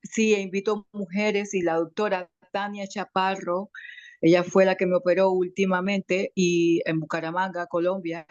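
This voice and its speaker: A woman with a slight foreign accent in her Spanish, giving an emotional, grateful personal testimony on a video call, halting with many restarts. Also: fairly low pitch, little pitch movement, a little mellow, no echo. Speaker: webinar participant. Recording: dry, muffled video call audio.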